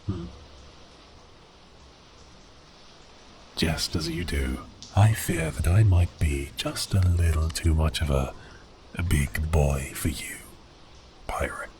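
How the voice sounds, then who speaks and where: A man playing a giant cursed sea beast prince in an ASMR audio roleplay, speaking in grand archaic phrases with a teasing, theatrical manner and a breathy voice that dips into whispers. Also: extremely low pitch, animated, measured pace, darkish tone, slight background noise. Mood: teasing, amused.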